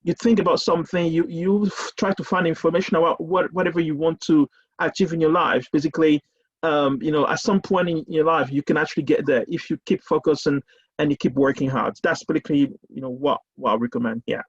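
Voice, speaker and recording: Husky, halting man on a muffled call. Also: a little high, steady tone. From Cameroon. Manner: halting, many repeated words and fillers. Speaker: university lecturer guest. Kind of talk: casual panel discussion. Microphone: video call. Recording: muffled lo-fi video call audio.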